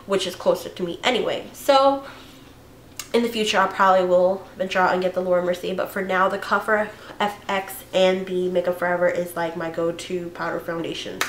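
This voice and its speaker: Hoarse young woman chatting about makeup. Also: on the low side, steady tone, brisk, reverberant, slight background noise. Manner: chatty, rambling, with fillers and self-corrections. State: hoarse from a cold. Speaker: beauty vlogger. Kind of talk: casual makeup tutorial chat.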